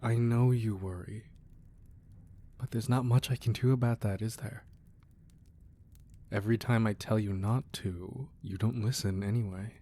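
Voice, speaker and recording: A man acting a gruff but tender, protective crime boss husband in an intimate, soft-spoken ASMR romance roleplay. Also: on the low side, steady tone, measured pace, pauses often. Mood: reassuring, reluctant. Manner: soft, intimate, affectionate murmur.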